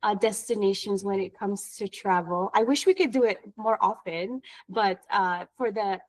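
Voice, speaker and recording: A woman's voice: medium pitch, normal speed, a little mellow. A woman chatting casually as a panel guest, speaking steadily with frequent "uh" and "um" fillers. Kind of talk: casual chat.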